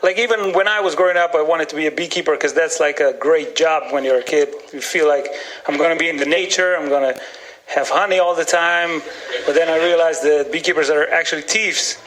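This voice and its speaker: A man from Slovenia, a stand-up comedian talking on a link from a small radio studio, easygoing and deadpan. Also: a little high, brisk, poor audio. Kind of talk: interview over a studio link.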